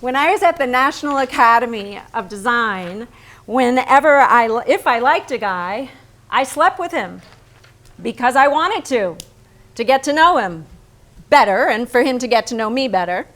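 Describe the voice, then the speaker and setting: An actress playing a frank, smitten woman painter in her thirties, in a theatrical staged reading with deliberate, intimate dramatic delivery. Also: fairly high pitch, lively intonation, a bit bright. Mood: brisk, frank.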